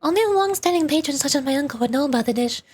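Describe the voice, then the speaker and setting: Woman reading as a proud, high-voiced noblewoman knight. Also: lively intonation, fast talker, a little mellow. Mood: explaining. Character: proud, aloof aristocratic young woman knight vowing vengeance.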